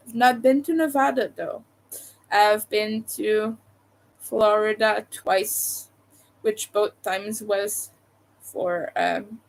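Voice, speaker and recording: A young woman with a Montreal accent, hosting a live stream in a loose, chatty way. She speaks slowly and drifts between topics, with frequent hesitant fillers. Her pitch sits in an ordinary middle range. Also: poor audio. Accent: Montreal Quebec. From Quebec, Canada. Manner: rambling, hesitant, many fillers. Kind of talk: casual live stream chat with viewers.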